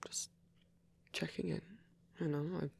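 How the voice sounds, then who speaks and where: A man playing a gentle, caring old vampire priest in an intimate ASMR roleplay, speaking in a halting, bashful, breathy whisper. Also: natural voice. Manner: halting, bashful, softly reassuring.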